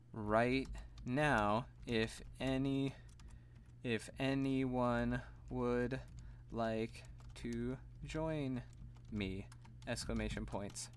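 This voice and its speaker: A man streaming live, chatting casually and laughing at himself, his voice slightly gravelly and tired. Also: medium pitch, fairly bright tone. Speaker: streamer and voice actor.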